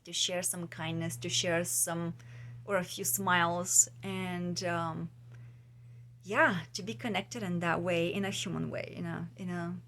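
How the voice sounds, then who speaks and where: A woman's voice: on the low side, fairly even intonation, measured pace, fairly bright tone, a little hiss or hum. A woman talks casually and reflectively to viewers, musing and often searching for her words. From Bulgaria. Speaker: vlogger and podcast host; trauma practitioner.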